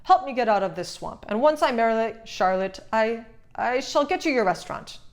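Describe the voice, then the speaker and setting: A woman's voice: fairly high pitch, lively intonation, normal speed. A woman voicing a confident, charming young prince with courtly phrasing in a storybook reading. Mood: bargaining.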